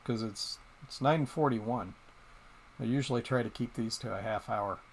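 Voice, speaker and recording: A middle-aged man of middling pitch talks casually to a small live-stream audience. He wanders from thought to thought, hesitating often and restarting his sentences. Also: normal speed, gravelly, slight background noise, poor audio. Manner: rambling, hesitant, many ums and restarts.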